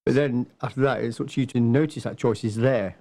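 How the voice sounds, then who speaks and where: A man's voice: mid-range voice, steady tone, a little fast, warm tone. A man, answering casually as an interview guest in a matter-of-fact, explanatory way. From Nottingham, England. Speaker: podcast guest, martial arts instructor.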